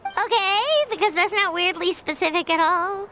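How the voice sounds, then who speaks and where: A woman's voice: steady tone, normal speed, sharp tone. A woman voicing a tiny floating female fairy companion who talks about herself in the third person, in a video game. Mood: chirpy. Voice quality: high squeaky voice, speaks of herself in third person. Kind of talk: game voice acting. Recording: gameplay audio with clear background noise.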